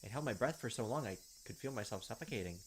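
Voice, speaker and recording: A man's voice: mid-range voice, expressive, fast. Man narrating over a noisy game recording. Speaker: gaming video host reading a visual novel aloud. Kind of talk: dramatic narration of game text with casual commentary. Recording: clearly noisy home recording, clicks, insect sounds.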